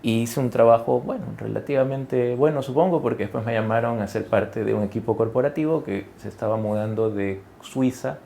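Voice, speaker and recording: Male business guest, forties, from Ecuador, gravelly mellow voice, conversational with fillers, faint noise behind. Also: mid-range voice, fairly quick, few pauses. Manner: conversational, many fillers and self-corrections, tag questions. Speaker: guest executive. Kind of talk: interview conversation.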